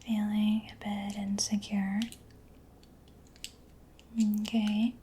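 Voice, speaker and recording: A woman doing a soft-spoken ASMR roleplay, mostly whispering in a breathy, gentle, soothing murmur close to the microphone. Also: mid-range voice, monotone, extremely slow, a little hiss or hum. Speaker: ASMR performer.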